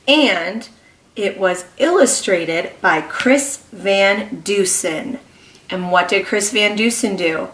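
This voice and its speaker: A woman kindergarten teacher reading a story aloud to young children, expressive and encouraging, pausing to ask them questions. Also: mid-range voice, far from the mic. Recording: echoey room.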